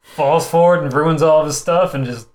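Halting man in his thirties, chatting. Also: fairly high pitch. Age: 30s. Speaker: co-host of a movie review show. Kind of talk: casual group review chat.

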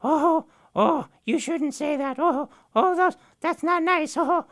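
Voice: high-pitched, slow pace, fairly bright tone, voice pushed up. A storyteller voicing a scheming male raven trickster who stammers and wheedles. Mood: coaxing.

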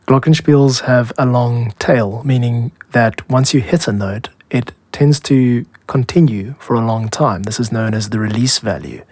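A man with a South African accent hosting a podcast, explaining in a measured, scripted style. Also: mid-range voice, darkish tone. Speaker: podcast host, music composer and producer.

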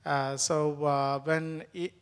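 A man with an Indian accent, a native Tamil speaker, giving an earnest, grateful church testimony with many pauses and fillers. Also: on the high side, level tone, smooth. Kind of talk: personal testimony in an interview.

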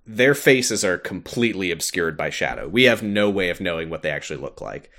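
Guy podcaster w a lowish voice, super animated, recapping game stuff, wry and kinda sweary. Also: a little fast. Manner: wry, swears casually, acts out quoted lines. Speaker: podcast host. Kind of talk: casual video game recap chat.

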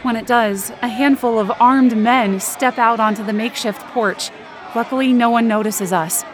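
A woman's voice: on the high side, normal speed, a faint crowd, a little hiss or hum, lightly acted. A young woman playing an anxious but determined narrator in a supernatural audio drama, earnest and descriptive. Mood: tense. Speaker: narrator and lead character.

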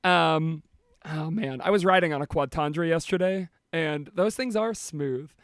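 A man co-hosting a casual podcast, swearing and joking, with his voice going hoarse. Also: on the high side, measured pace, dry recording, clean studio sound. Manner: swears often, jokey, laughs a lot. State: losing his voice. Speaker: podcast co-host.

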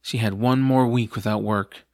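A man's voice: on the low side, fairly even intonation, moderate pace, darkish tone, no room sound, studio quality. A man narrating a scripted comic fantasy story for an audio drama.

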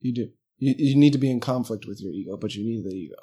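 A man with a husky, mellow voice talking in a relaxed conversation. He thinks out loud, pausing and restarting, with a joking, easygoing manner. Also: mid-range voice. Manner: laid-back, joking, thinks aloud with hesitations. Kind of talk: casual conversation.

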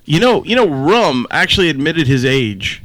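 A man in his forties in casual podcast chat, blunt, opinionated and quick to swear. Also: fairly high pitch, very animated, fairly bright tone, low-quality recording. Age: 40s. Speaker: podcast co-host.

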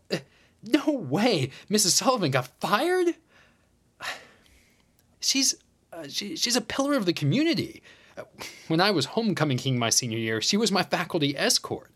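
An actor playing a chummy, self-important high school teacher, an American man with a casual, hesitant way of talking, in a naturalistic audio drama. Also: sing-song, normal speed, long pauses, a bit bright, full character voice. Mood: flustered, incredulous. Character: chummy, vain male high school teacher, former homecoming king.

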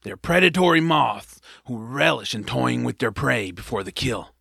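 Gruff, folksy male sidekick played by a man, raspy and creaky, a wary storyteller for a fantasy audio drama. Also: medium pitch, moderate pace, sharp tone, dry recording, studio quality, heavily acted. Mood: ominous. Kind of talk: family audio drama acting.

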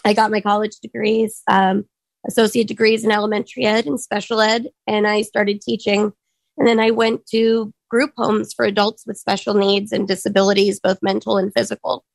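A woman in her thirties speaks with a hoarse voice that has a gravelly, fry-laden edge. She talks earnestly and reflectively and often stops to pause with an um. The recording is dry and close. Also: medium pitch, level tone, no echo, poor audio. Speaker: speaker sharing her story. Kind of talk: personal testimony talk.

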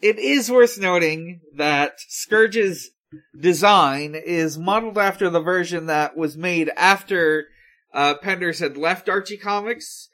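Podcast cohost chatting thru some news, slow n kinda halting, mid pitch voice. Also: a bit bright. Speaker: podcast co-host. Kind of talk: casual podcast chat, relaying news.

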